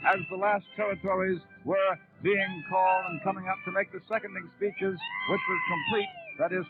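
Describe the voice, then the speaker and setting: High-voiced 1930s radio newsman, tinny sound. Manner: formal, measured, long descriptive sentences. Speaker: radio news announcer. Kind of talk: 1930s live radio news commentary.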